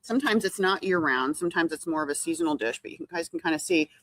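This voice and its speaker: Woman tv host from the east coast, lively up-and-down pitch, dry sound. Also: quick pace, no echo. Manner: chatty and lively. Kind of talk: casual food segment interview.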